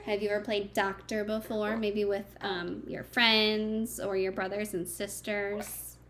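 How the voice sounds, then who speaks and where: A woman's voice: medium pitch, level tone, unhurried, noisy background. A woman reading a picture book aloud to young children like a teacher, expressively, with simple chatty asides and questions to her listeners.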